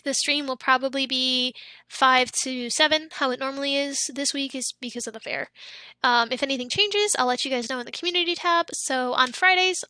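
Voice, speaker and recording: A young woman streamer talks loosely with her chat, high-pitched and bright, sometimes slipping into breathy half-whispers. Her voice is a little worn, as if she has been losing it for days. The sound is close and dry, with no room around it. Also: no room sound. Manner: chatty, reads out chat, trails into soft whispery asides. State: losing her voice, throat a bit off. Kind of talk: casual chat with live stream viewers.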